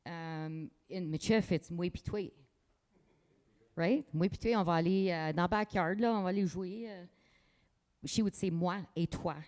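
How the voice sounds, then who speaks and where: A woman's voice: on the low side, normal speed, darkish tone. A woman artist from rural Manitoba talking casually about her work in an interview, outspoken and proud, with frequent restarts. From rural Manitoba, Canada.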